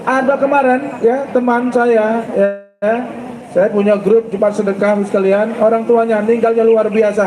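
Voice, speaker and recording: Brief: middle-aged male preacher, very high voice, playful quizzing style, echoey noisy hall. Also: darkish tone. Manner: joking, quizzes the audience. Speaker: ustaz. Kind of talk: humorous sermon to school students. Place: school event hall. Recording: echoey hall, background noise and traffic.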